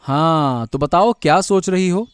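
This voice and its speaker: Man as worried veg seller husband, cartoon, highish voice, complaining. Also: a little slow, clear, resonant tone, no room sound, lightly acted. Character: worried, struggling village vegetable-seller husband. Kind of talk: cartoon story voice acting.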